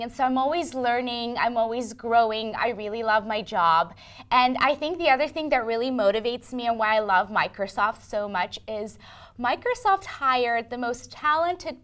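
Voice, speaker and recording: A woman from Ukraine telling her story as an interview guest, in a lively casual manner with frequent ums and small laughs. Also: high-pitched. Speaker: interview guest, engineering manager.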